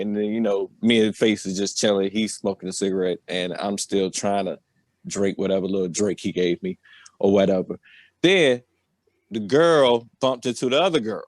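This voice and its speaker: A man's voice: on the low side, dry recording. Casual American man spinning a loose story. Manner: loose, slangy, repeats filler phrases like "or whatever". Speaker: podcast host telling a personal story. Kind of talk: casual, rambling comedic storytelling.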